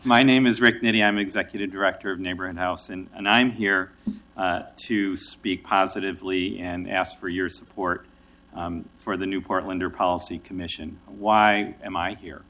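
A man's voice: sits low, steady tone, moderate pace, sharp tone. A man, an executive director, giving friendly, conversational testimony to a city council. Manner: friendly, conversational, some restarts. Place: council chamber.

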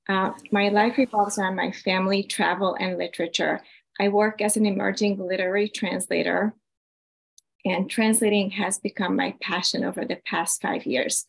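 A woman's voice: mid-range voice, gravelly, husky, rough recording. A woman, reading a prepared personal story to a council over a video call. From Hungary. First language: Hungarian. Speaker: invited speaker.

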